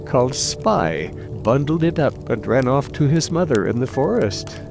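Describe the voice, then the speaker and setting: Helpful, folksy fairy-tale woodman, played by a man; gravelly, drawn-out, lilting lines. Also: mid-range voice, sing-song, over music. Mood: helpful, unhurried.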